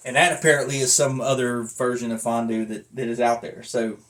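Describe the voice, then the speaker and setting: A man's voice: medium pitch, little pitch movement, brisk, a bit bright. A man talking casually to camera in a rambling review, with a blunt, self-deprecating manner. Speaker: vlogger and wrestling belt collector reviewing a belt.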